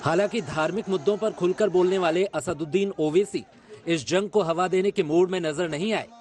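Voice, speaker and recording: A man's voice: sits high, fairly quick, a little mellow, some chatter behind. A man reading a scripted television news report as its narrator. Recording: music under the voice.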